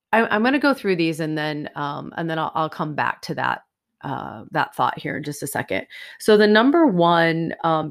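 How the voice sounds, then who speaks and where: Stuffy-nosed woman hosting a podcast. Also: sits low. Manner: chatty, rambling, many fillers. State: stuffy, nasal from a sinus infection. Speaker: podcast host. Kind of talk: casual solo podcast. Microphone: new USB microphone.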